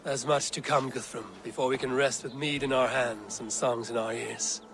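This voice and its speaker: An actor playing a measured, strategic Viking war leader in a video game, solemn and deliberate in archaic phrasing. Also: male voice, medium pitch, fairly even intonation, close to an everyday voice. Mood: grave, counselling. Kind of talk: dramatic game cutscene acting. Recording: music behind the voice.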